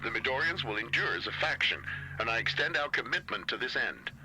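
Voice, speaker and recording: This is a man playing a military commander in a sci-fi game. He sounds formal and resolute as he reassures, at a middle pitch over clear music. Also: expressive, brisk, close to an everyday voice, low-quality recording. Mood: resolute, reassuring.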